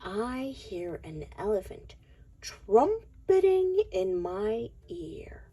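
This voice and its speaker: Casting: female picture-book reader, extremely slow and very mellow, sing-song, sometimes near whispering. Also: high voice, very animated, creaky, breathy edge. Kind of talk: expressive picture-book read-aloud for young children.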